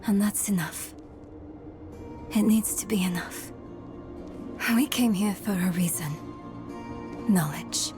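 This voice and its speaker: Weary, resolute young female scholar, played by an actress, dark fantasy game, measured and slow, music behind. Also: medium pitch, lightly acted. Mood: wistful, resolute. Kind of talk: dramatic video game acting. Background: cinematic background music.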